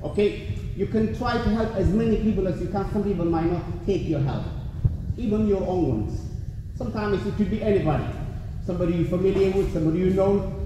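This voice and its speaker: A man from Africa, a native Somali speaker with a Welsh-tinged English accent, giving an earnest motivational speech at a community event and often saying "trust me" to the crowd. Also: sits high. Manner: earnest, repeats "trust me", addresses the crowd directly. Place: large hall at a community event. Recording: echoey hall from far off, noisy.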